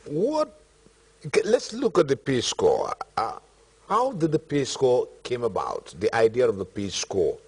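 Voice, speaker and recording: Man hosting an interview, mellow delivery. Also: medium pitch, big pitch swings, measured pace, slightly rough. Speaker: interviewer, program host. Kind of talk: broadcast interview.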